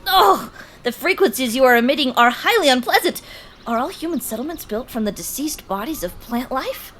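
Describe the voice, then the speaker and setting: A woman's voice: sits high, lively intonation, a little fast, over music. Stiff, earnest alien disguised as a boy. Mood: suspicious, formal. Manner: stiff, over-formal, literal speech. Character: earnest alien posing as a preteen boy, formal and suspicious. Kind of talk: audio drama acting.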